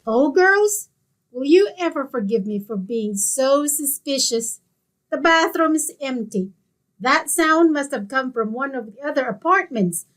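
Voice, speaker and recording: Woman as high-pitched, swooping nosy landlady. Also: lively intonation, measured pace, fairly bright tone, rough recording. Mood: apologetic. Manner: prying, emphatic. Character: nosy, suspicious, strict landlady, older woman. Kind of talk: old-time radio sitcom acting.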